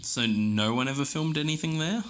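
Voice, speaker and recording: A man's voice: medium pitch, normal speed, fairly bright tone, close to an everyday voice. A man playing a curious, persistent podcast interviewer with a casual, conversational way of asking questions. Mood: intrigued. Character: eager, curious male podcast interviewer pressing for details.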